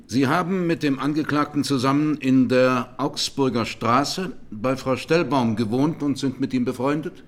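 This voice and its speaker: An actor playing a sober presiding judge in a 1920s trial radio drama, questioning formally and insistently. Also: male voice, mid-range voice, normal speed, few pauses, close to an everyday voice.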